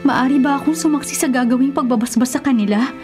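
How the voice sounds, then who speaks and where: An actress playing a respectful governor's wife in a Filipino radio drama, polite and formal. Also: female voice, high voice, quick pace, natural voice. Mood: gentle concern. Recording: radio drama with loud music underneath.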